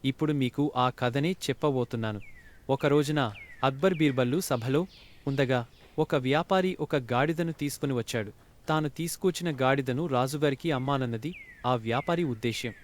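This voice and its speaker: A male storyteller narrates a scripted folk tale. His voice sits in a middle register. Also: medium pitch.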